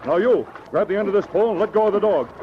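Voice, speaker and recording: An actor playing a brave, commanding Mountie sergeant in a vintage radio adventure, speaking with firm, exclamatory delivery. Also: male voice, sits high, racing, close to an everyday voice. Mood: urgent. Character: brave, commanding Mountie sergeant who drives a dog team. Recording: muffled, noisy old radio recording.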